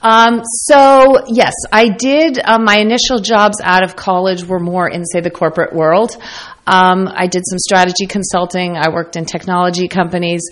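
A woman's voice: fairly low pitch, steady tone, moderate pace. An American woman speaking conversationally as the guest in a radio interview. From United States. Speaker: interview guest, company founder.

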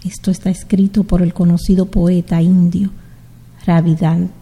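A middle-aged woman from the Dominican Republic hosting a community radio show, speaking in an unhurried, heartfelt way as she greets her listeners. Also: on the low side, flat delivery, mellow, breathy edge, big-room sound. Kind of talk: slow, warm community radio sign-off.

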